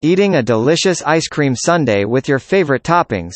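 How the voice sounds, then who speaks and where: A man reading a student's part in a scripted classroom conversation, with an even, measured delivery. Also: a little high, no room sound. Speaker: student in a dialogue.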